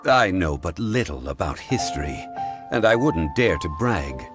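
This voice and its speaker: Deep, courteous game scholar, measured delivery, faint score behind. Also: male voice, big pitch swings, music in the background. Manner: unhurried, politely corrective. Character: calm, learned adult male consultant.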